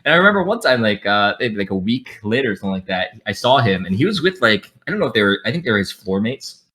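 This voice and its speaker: Young man guest, rambling storyteller, bright forward tone, lowish voice, headset at home. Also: big pitch swings, quick pace. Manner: rambling, self-deprecating, often restarts sentences. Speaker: podcast guest, streamer. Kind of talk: casual storytelling on a video call. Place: home room with gaming chair.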